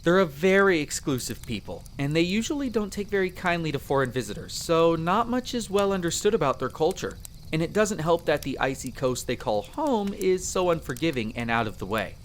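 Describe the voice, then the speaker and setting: A man playing a friendly, earnest young elf explorer in roleplay audio, explaining things chattily with hesitant pauses. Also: on the high side, big pitch swings, slight background noise. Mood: chatty, informative. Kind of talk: character roleplay audio addressed to the listener.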